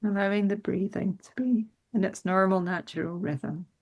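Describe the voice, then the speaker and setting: A woman leading a guided meditation in a soothing, unhurried manner with gentle instructions. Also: mid-range voice, fairly even intonation.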